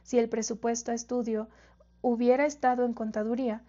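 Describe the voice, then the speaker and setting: A woman reads a literary story aloud in a fairly high, soft-edged mellow voice. She goes carefully through the text, occasionally tripping and repeating a word. The recording is slightly dull and lo-fi. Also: level tone, fairly quick. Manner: careful reading with occasional stumbles and repeated words. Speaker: audiobook reader. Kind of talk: reading literary fiction aloud.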